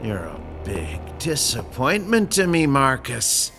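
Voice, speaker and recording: Coolly menacing crime boss, wildly swooping pitch. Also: male voice, wildly swooping pitch, over loud music, heavily acted. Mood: quietly menacing. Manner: polite, precise, coolly deliberate. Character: calm, controlling, menacing male crime boss. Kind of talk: audio horror drama acting.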